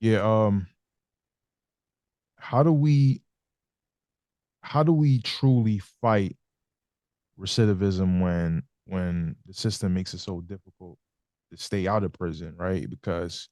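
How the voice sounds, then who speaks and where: Low-voiced man asking measured questions. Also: a little mellow, dry recording, studio quality. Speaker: podcast host. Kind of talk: interview questions.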